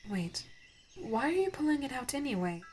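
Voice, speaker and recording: A regal alien gem woman, as a female voice actor plays her for an audio roleplay, speaks formally and with tender wonder. She is curious and easily awed, her phrasing halting when moved. Music plays clearly behind her. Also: high-pitched, big pitch swings, darkish tone, pitched above the performer's own voice. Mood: puzzled, curious. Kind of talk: ASMR audio roleplay acting.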